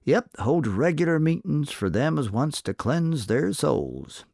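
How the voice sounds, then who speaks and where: A man's voice: on the high side, sing-song, measured pace, vocal fry, a little mellow, dry recording, studio quality, pitched above the performer's own voice, heavily acted. A man playing a gossipy saloon barkeep in an old western story, speaking in a folksy drawl.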